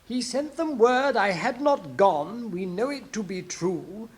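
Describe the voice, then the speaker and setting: A woman's voice: medium pitch, animated, slow, voice pushed up. A woman voicing a fussy male rabbit court herald in a storybook reading, prim, careful and obsequious. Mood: prim reciting.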